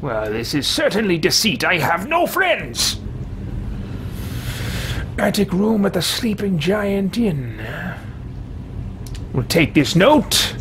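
A man's voice: sits high, sing-song, a bit bright, a little hiss or hum, voice pushed up, exaggerated voice. A man voicing a pompous, haughty sorcerer who calls himself king, theatrical and archaic in a video game roleplay. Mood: suspicious, disdainful. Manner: pompous, theatrical, archaic wording, slow and deliberate. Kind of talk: in-character roleplay video game let's play. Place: small home room with shelves, indoors.

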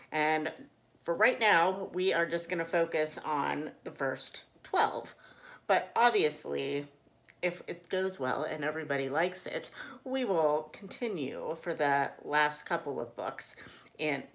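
Casting: woman, thirties, cheerful book vlogger, low pitch, slow easy delivery, informal chat to camera. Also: gravelly, fairly bright tone. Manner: cheerful, chuckles often. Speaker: host of a book channel and read-along co-host. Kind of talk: casual chatty vlog to camera. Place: small living room indoors.

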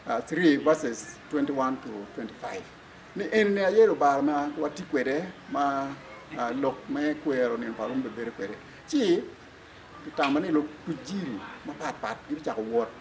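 A man's voice: fairly high pitch, low-quality recording. An older man with a Ugandan accent, a church official explaining things in an interview outdoors. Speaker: church official, diocesan secretary. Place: outdoors in front of a building. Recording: clear background noise, outdoor ambience.

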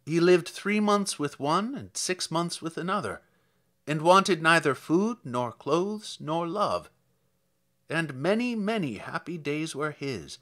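A man voicing a kindly old village priest who recalls the dead, reading dramatic poetry in a measured, solemn, sorrowful way. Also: a little high, sing-song, a bit bright, full character voice.

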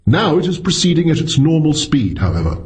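A man voicing a learned senior astronomer with a measured, formal and courteous manner, in a story reading. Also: a little high, sing-song, darkish tone, pitched above the performer's own voice.

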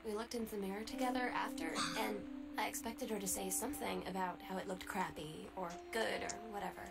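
A young woman voicing a teenage girl who softly and intimately recalls a tender moment, in a game voice-over with music underneath. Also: medium pitch, steady tone, fairly quick, vocal fry, natural voice. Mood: wistful. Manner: soft, reflective and intimate. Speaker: game narrator reading a journal.

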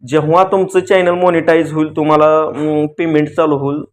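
Higher-pitched man with rural Maharashtrian accent. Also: flat delivery, moderate pace, slight background noise. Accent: rural Maharashtrian Marathi. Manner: earnest, colloquial, repeats phrases for emphasis. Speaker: YouTuber giving advice to viewers. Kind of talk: casual vlog talk addressed to viewers.

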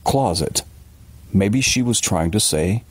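A man playing a detached psychiatrist who probes in a measured manner, as an audiobook character. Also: lowish voice, animated, husky, dry recording, heavily acted. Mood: calm, probing. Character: Harper, cool, probing male psychiatrist listening to a patient.

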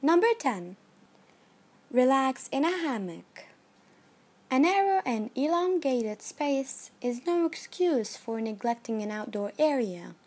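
A woman giving scripted narration of a numbered list in a measured, even read-aloud delivery. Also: sits high.

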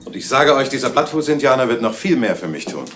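An actor playing a scheming detective agency boss in a 1970s radio crime drama, who speaks in a smug, commanding way. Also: male voice, mid-range voice, very animated, fast, fairly bright tone.

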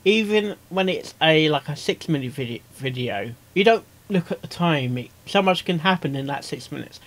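A man's voice: fairly high pitch, expressive, moderate pace, fairly bright tone. A man hosting a casual reaction video, talking in a rambling, repetitive way. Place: small cluttered room indoors.

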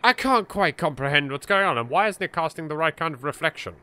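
A man's voice: a little high, fast, clear, resonant tone. A man with an English accent giving casual, chatty, reactive gameplay commentary as a let's play host. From England. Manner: animated, reactive, politely chatty. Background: game music.

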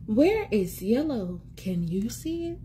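A woman in her thirties reading a picture book aloud to young children in an expressive, sing-song, encouraging way, repeating words for them. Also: fairly high pitch, takes it slowly, slight background noise. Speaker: children's read-aloud host and teacher. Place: small indoor room at home. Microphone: phone or tablet at arm's length.

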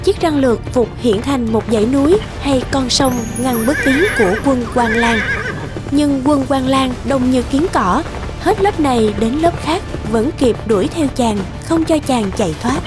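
High-voiced woman narrating over music. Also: normal speed. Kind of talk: scripted storytelling narration.

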